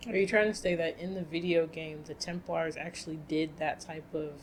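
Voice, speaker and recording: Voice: mid-range voice, level tone, normal speed, slight background noise. A co-host in a casual chat, clarifying points with lots of fillers. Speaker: podcast co-host.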